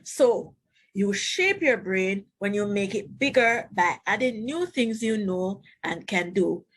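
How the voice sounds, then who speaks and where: A woman teacher reading a children's book aloud, pausing to explain and put questions to young listeners. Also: medium pitch, lively intonation.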